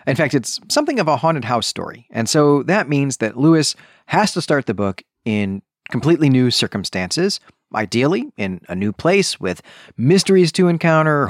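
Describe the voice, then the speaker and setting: A man's voice: medium pitch, no echo, clean studio sound. A man hosting a solo book club podcast, talking conversationally with self-correcting asides. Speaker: podcast host.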